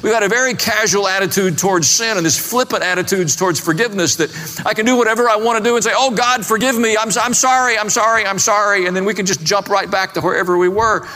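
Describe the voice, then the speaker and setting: A man's voice: high voice, fast, bright, forceful tone. A man preaching a sermon in an emphatic, plain-spoken way, stressing points with short repeated phrases. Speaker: pastor, preacher. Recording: long reverberant hall, faint music.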